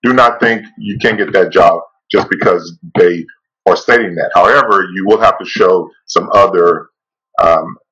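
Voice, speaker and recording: A man's voice: low-pitched, moderate pace, sharp tone, slightly rough, highs cut off. A man on a video call, speaking with a stutter that repeats sounds. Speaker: webinar participant. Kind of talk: casual video call discussion.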